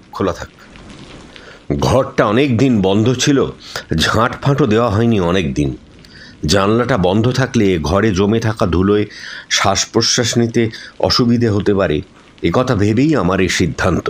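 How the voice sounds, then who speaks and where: A man's voice: on the low side, slight background noise. A man giving a dramatic scripted first-person narration of a ghost story.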